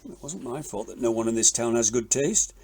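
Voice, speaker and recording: A man playing a blustery, blunt, teasing older British windbag in an audio drama, with a husky voice. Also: medium pitch, lively intonation, a little fast, a little mellow, a little hiss or hum, close to an everyday voice. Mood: defensive. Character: grumpy, teasing old widowed windbag with opinions.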